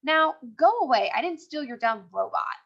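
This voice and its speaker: A woman's voice: sits high, sing-song, normal speed, creaky, highs cut off, exaggerated voice. A woman voicing a tough, sneering schoolgirl bully in a children's storybook, snarling and taunting. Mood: hostile.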